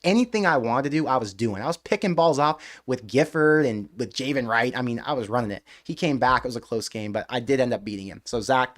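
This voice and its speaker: A man hosting a live stream, chatting casually in a rambling, excitable way with mild swearing. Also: medium pitch. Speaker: live-stream host of a fan channel.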